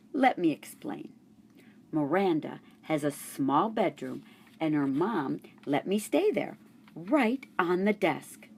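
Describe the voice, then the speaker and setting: A woman reads a children's chapter book aloud in an expressive storytelling voice. Her tone sits somewhat low with a soft, breathy edge, and her pitch swings widely. She takes her time over each line. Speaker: storybook reader.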